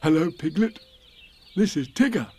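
A man's voice: on the high side, lively intonation, normal speed, dark tone, slightly rough, pitched above the performer's own voice. A man voicing a mild, friendly, slightly puzzled toy bear in a children's storybook, polite and placid. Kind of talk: storybook character voice.

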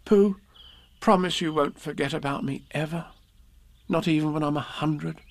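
A man's voice: fairly high pitch, moderate pace, voice pushed up, lightly acted. A man voicing an earnest, kindly, imaginative little boy in a storybook, speaking in a faltering way. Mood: wistful, earnest.

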